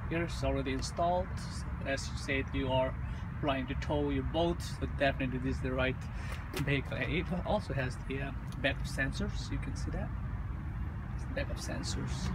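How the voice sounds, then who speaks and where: A hoarse man, a car salesman, narrating a casual walkaround video of a vehicle for a customer, with lots of fillers. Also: medium pitch, normal speed. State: hoarse from losing his voice. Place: outdoors on a car dealership lot. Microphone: handheld phone camera. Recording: loud outdoor lot noise.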